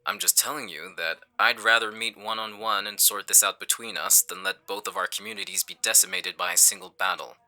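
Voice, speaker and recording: A man playing a calculating, menacing villain in an audio roleplay, with a smug, condescending, deliberate delivery. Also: deep voice, fairly even intonation, sharp tone. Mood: calm menace. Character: smooth-talking male villain, calculating, menacing leader. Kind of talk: audio roleplay acting on a phone call.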